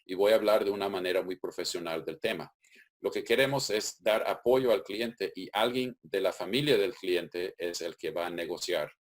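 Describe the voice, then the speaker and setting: Deep-voiced man explaining steadily. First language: English. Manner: methodical, steady explaining with occasional restarts. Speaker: webinar presenter. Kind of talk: explanatory webinar presentation. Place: webinar.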